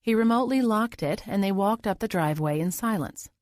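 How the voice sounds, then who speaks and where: A woman's voice: mid-range voice, lively intonation, fairly quick, darkish tone, dry recording, studio quality. A woman reading scripted thriller audiobook narration.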